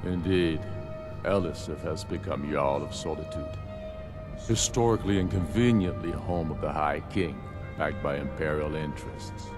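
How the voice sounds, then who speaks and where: An actor playing a proud, grave, battle-hardened middle-aged rebel leader in a fantasy video game, speaking in a solemn, measured, oratorical way. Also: male voice, a bass voice. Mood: resolute, indignant. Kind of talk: scripted video game dialogue. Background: background music.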